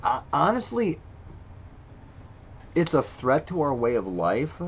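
A man's voice: medium pitch, huge pitch swings, slow, a little hiss or hum. A man reading quiz questions aloud and reacting to each one, blunt and profane, thinking aloud with stammered restarts. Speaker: video creator. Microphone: screen recording with voice-over.

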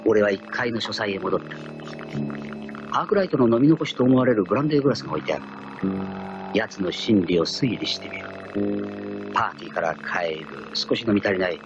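An actor playing a cocky, proud gentleman thief, narrating his own exploits theatrically in a radio drama. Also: fairly low pitch, expressive, brisk, long pauses, lightly acted. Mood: shrewd, composed. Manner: theatrical, self-assured storytelling. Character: cocky gentleman thief, proud self-styled genius, wry. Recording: dull lo-fi archive radio recording, loud music.

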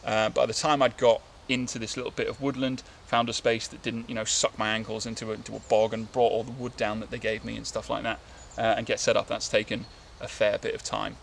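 A man's voice: fairly low pitch, little pitch movement, a little fast, vocal fry, few pauses. A man, talking casually to camera while sitting at his woodland camp, rambling with lots of fillers and restarts. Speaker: bushcraft vlogger. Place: outdoors in winter woodland, seated under a tarp. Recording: light outdoor background noise.

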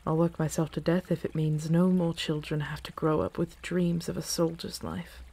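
A woman playing an earnest, playful dragon in human form in a fantasy ASMR roleplay, with a casual, teasing, halting delivery. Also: low-pitched, fairly even intonation, vocal fry, warm tone, husky, exaggerated voice.

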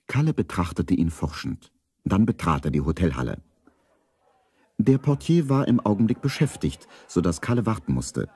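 Deep husky guy narrating a kids radio play, storybook style, dry close studio sound. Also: sing-song, moderate pace, darkish tone, dry recording.

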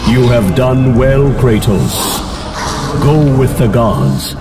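A man's voice: medium pitch, very animated, takes it slowly. A man acting a mighty, solemn old king of the gods in a game cutscene, with a grand, commanding manner. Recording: loud music behind.

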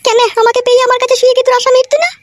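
A woman's voice: very high-pitched, completely flat delivery, very fast. A woman voicing a jealous, nagging village wife in a comic cartoon, accusing and coarse.

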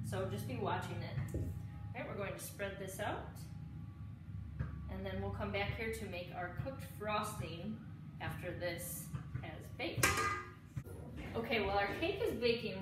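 Echoey, distant woman giving cooking instructions. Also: medium pitch. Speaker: home cook hosting a cooking show. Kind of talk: casual cooking demonstration, step-by-step instruction. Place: home kitchen, indoors, dish clatter behind. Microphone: camera a few steps away. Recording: roomy, distant camera mic with clear noise.

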